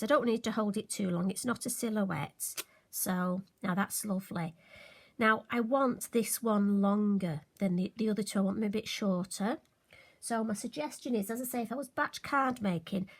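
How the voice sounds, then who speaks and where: A woman, slightly hoarse and occasionally croaky, chatting through a crafting tutorial with friendly, self-deprecating asides. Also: medium pitch. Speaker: card-making demonstrator.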